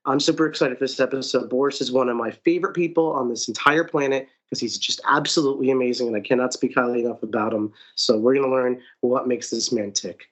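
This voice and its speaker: A man hosting a podcast, talking in an excited, effusive way and repeating phrases for emphasis. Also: mid-range voice, fast talker, few pauses, rough recording. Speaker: podcast host. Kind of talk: enthusiastic podcast chat.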